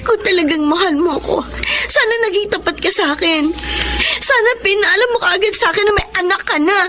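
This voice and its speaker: A woman's voice: very high-pitched, fairly quick, bright, forceful tone. A woman acting a heartbroken, trusting young shopgirl in a Tagalog radio drama, tearful and reproachful. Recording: radio drama with background music.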